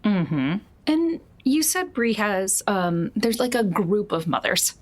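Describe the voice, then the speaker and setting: A woman's voice: mid-range voice, animated, slow. A woman playing a polite, probing district official in a tabletop game, asking formal, tactful, leading questions. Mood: politely curious.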